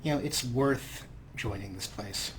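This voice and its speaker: A man's voice: mid-range voice, a little slow, a little hiss or hum. A man hosting a video game playthrough, mixing casual commentary with playful in-character replies. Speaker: Let's Play host.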